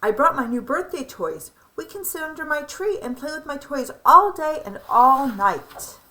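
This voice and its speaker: A woman voicing an eager, excitable little boy in a children's storybook, with an excited, pleading manner. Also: sits high, normal speed, voice pushed up, low-quality recording.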